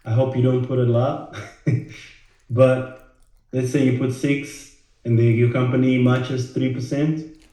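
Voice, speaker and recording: Slow, easygoing man in an echoey room. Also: medium pitch, level tone, warm tone. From Rwanda. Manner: halting, with many fillers and repeated words. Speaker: financial educator. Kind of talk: casual direct-to-camera talk.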